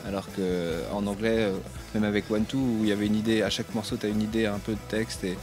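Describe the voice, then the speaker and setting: Hesitant low-voiced man with music behind. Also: flat delivery, fast talker. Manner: hesitant, many fillers and restarts, thoughtful. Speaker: singer-songwriter interviewed about his album. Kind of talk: casual interview answers.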